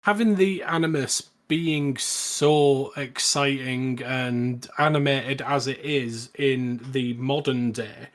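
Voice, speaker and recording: A man co-hosting a podcast, casually discussing a film in measured, slightly tentative phrases. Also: mid-range voice. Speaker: podcast co-host. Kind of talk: casual film review chat.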